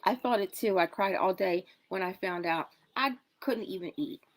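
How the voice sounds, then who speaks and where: A woman in her forties talking in a casual social media video about her health, in a chatty, dramatic way, with sudden jumps between loud and soft. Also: mid-range voice, normal speed, poor audio. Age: 40s. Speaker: wellness product promoter in a clip.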